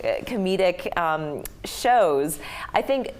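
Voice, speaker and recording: An American woman presents a conversational interview. Her voice sits a little low for a woman, and she talks in an enthusiastic, chatty way as she puts questions to guests. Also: animated, slow pace. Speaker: TV show host. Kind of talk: conversational morning-show interview.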